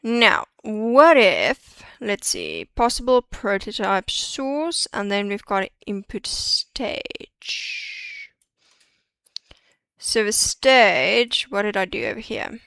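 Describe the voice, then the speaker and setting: A woman's voice: medium pitch, very animated, very slow, fairly bright tone, clean tone, no room sound, clean studio sound. A woman streaming a 3D modeling session, chatting and muttering to herself as she works through each step. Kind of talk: live-stream tutorial, thinking aloud.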